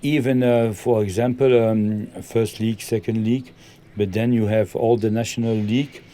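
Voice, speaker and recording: A man from Belgium, a football coach, answering interview questions in halting full of ums and loose grammar. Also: lowish voice, level tone, mellow.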